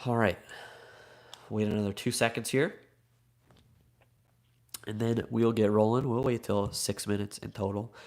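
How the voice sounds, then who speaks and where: A man's voice: fairly low pitch, fairly even intonation, a little slow, pauses often. A man with a Midwestern American accent hosting a live card break, slightly congested from a cold, chatty and upbeat as he reads out names and teams.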